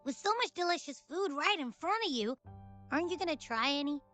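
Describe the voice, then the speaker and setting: A woman voicing a playful, curious, food-loving girl companion in a video game, expressive and friendly. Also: sits high, a little fast, faint music behind. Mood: playful teasing.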